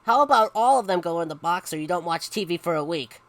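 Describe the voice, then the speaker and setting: A woman's voice: on the low side, expressive, quick pace. A woman voicing an exasperated, bossy mother in a picture-book story, stern and fed up. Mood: haggling. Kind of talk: animated picture-book read-aloud.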